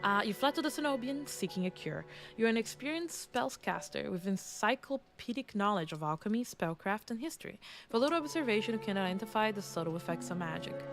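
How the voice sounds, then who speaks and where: A woman's voice: medium pitch, animated, fairly quick. Congested playful woman streamer, game music. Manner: playful and self-mocking, with frequent restarts. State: congested, throaty. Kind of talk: casual game stream commentary, reading game text aloud.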